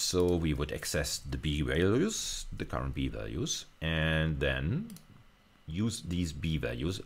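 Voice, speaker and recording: Very deep, gravelly male lecturer. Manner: hesitant, thinking aloud while demonstrating. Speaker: university lecturer. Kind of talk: informal technical lecture, live demonstration.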